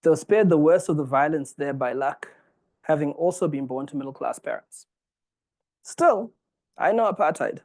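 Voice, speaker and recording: A man from Zimbabwe in his forties giving a formal public lecture, reading from a text in a measured, earnest way. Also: fairly high pitch, expressive, darkish tone.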